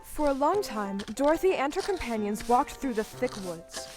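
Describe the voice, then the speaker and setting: A woman's voice: sits high, very animated, moderate pace. A woman giving scripted storybook narration for an audio play. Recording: live stage recording with music underneath.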